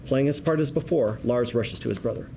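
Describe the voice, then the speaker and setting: A man's voice: medium pitch, a little fast, vocal fry, dark tone, a little hiss or hum. A man reading stage directions aloud as scripted narration at a table read.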